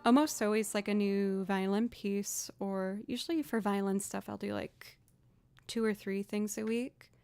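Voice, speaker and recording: Chatty low-voiced woman streamer. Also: fairly even intonation, measured pace, gravelly, darkish tone, clear, resonant tone, no echo. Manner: chatty, rambling, self-deprecating. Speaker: streamer and musician. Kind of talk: casual chat with live chat.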